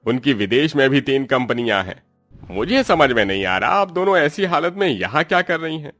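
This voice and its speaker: A man's voice: medium pitch, very animated, normal speed, a bit bright, no room sound, faint music behind. A man voicing a deferential, emphatic accountant in a cartoon story. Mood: puzzled, emphatic. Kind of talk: Hindi cartoon morality story acting.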